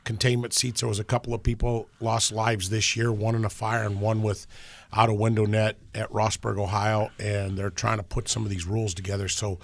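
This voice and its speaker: A man with a deep voice, a racetrack promoter talking in casual, plainspoken radio chat with folksy grammar. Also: little pitch movement, a little fast, vocal fry.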